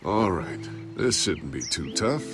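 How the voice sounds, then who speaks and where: An actor playing a steady, earnest survivor in a video game, speaking in a measured, protective way. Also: male voice, deep voice, music in the background, full character voice. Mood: helpful, earnest.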